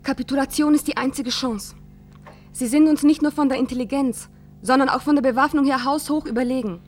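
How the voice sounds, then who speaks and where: Ship's computer, grave, insistent, high radio-play voice. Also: female voice, steady tone, fairly quick, faint music behind. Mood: grave, emphatic. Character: ship's computer, emphatic female voice of doom. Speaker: voice actor. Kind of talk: German science-fiction radio drama acting.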